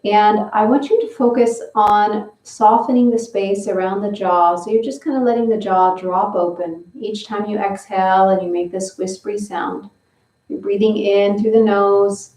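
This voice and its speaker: Female yoga-style instructor, soft guided cues, recorded in a roomy space a bit off-mic. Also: mid-range voice, steady tone, distant mic. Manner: slow, gentle step-by-step instructions. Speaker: breathing and movement instructor. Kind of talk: guided breathing and stretching session.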